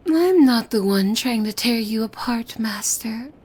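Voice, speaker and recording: A woman playing a possessive demon maid in an ASMR roleplay, devoted and softly menacing, with hushed formal speech that often slips into breathy whispers. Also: fairly high pitch, lively intonation, measured pace. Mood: calmly deflecting.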